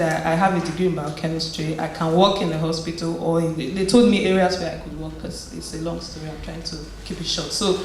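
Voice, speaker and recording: A woman tells her own story to an audience in a chatty, storytelling way, often stumbling and restarting mid-phrase. Her voice rings around a very echoey hall. A faint hum of noise sits underneath. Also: on the low side. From Nigeria. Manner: conversational storytelling with frequent restarts. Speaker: guest speaker at a community event. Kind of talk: personal testimony and encouragement.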